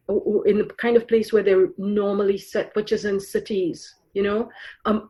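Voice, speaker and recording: A middle-aged woman from Trinidad, speaking at a middle pitch. She talks reflectively and thinks aloud, often restarting a sentence or reaching for a word. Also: dark tone, rough recording. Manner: reflective, with frequent fillers and restarts. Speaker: guest author. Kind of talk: conversational podcast interview.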